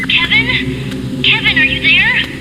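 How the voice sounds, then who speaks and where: High-voiced earnest teen girl. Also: takes it slowly, very noisy. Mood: worried. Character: earnest, brisk teenage girl heroine. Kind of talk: video game cutscene acting.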